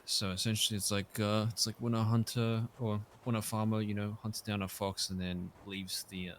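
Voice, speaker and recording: A man with a lowish voice speaks in character in a roleplay game. He hedges constantly, fills gaps with "uh" and lets his sentences fade. Faint noise hums behind him. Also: level tone, normal speed. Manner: hesitant, many fillers, soft trailing off. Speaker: roleplay player.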